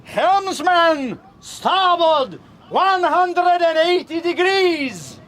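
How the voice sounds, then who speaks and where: An actor playing a dutiful, questioning ship's officer in an audiobook drama, formal and clipped. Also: male voice, very high-pitched, expressive, extremely slow, fairly bright tone, slight background noise, full character voice. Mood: alert. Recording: faint shipboard ambience behind.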